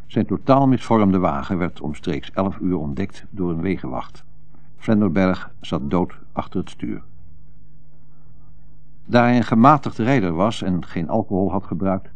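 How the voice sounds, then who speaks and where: An actor playing a solemn radio newsreader, delivering a special bulletin in a formal, measured manner within a radio drama. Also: male voice, low voice, a little mellow, dry recording.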